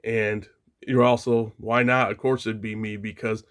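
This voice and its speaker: A man hosting his own vlog, talking casually and excitedly, his voice a little off while he recovers from an illness. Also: lowish voice, measured pace, clean tone. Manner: chatty, enthusiastic, with frequent restarts. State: getting over an illness, voice a bit off. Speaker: channel host.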